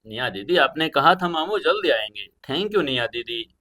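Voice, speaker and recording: A man's voice: a little high, big pitch swings, normal speed, bright, forceful tone. A man voicing a sweet, happy ten-year-old girl in an audio story. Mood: delighted, grateful.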